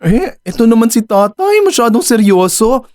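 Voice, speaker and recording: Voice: mid-range voice, big pitch swings, moderate pace, dark tone, no echo, clean studio sound, natural voice. A performer in a Filipino radio drama playing a clingy, sweet, teasing and persistent lover who coaxes playfully. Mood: cheerful, fond. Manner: playful, wheedling, coaxing.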